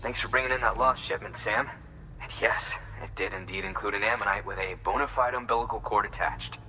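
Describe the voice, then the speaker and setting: An actor playing an excited, bookish scientist in a video game, speaking in eager, formal, precise words. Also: male voice, fairly low pitch, brisk, a little hiss or hum, poor audio. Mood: grateful, earnest. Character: excited, bookish male scientist studying fossils and extinctions. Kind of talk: video game cutscene acting.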